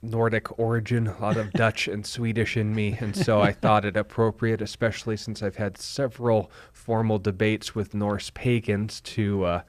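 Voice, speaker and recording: Low, even-toned man on a radio panel. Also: normal speed, hardly any pauses, no echo. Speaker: pastor, panelist on a radio show. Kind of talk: casual banter on air.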